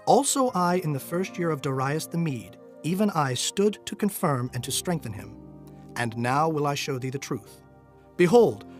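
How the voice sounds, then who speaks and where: A man's voice: fairly high pitch, close to an everyday voice. A man giving a dramatized reading of old King James scripture as narrator, solemn and declamatory. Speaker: Bible narrator. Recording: music under the voice. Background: music.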